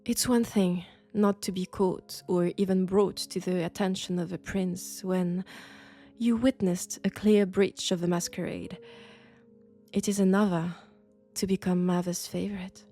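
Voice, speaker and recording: Woman acting a sophisticated female vampire, French accent, dark mellow voice, seductive, condescending, unhurried, soft music behind. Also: fairly low pitch, steady tone. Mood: intrigued, persuasive. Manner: slow, deliberate, seductive, condescending. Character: elegant, sophisticated, coolly curious female vampire scholar. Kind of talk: intimate roleplay audio drama monologue. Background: faint dark ambient music.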